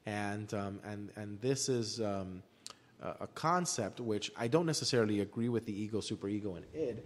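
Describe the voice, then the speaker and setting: A man with a fairly low voice, recorded in a hall-like space with long reverb. He explains his views at length as a commentator in a discussion. He repeats phrases for emphasis as he goes. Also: moderate pace.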